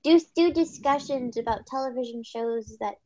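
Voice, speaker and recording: A woman hosting a casual group livestream chat, energetic and talkative, laughing often. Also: fairly high pitch, moderate pace, dry recording, highs cut off. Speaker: stream host.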